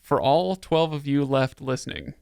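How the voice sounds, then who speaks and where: A man's voice: medium pitch, expressive, normal speed, gravelly, a little mellow, clean tone, no echo, studio quality. A man hosting a casual, conversational podcast discussion with friends. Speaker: podcast host. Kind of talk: casual panel chat.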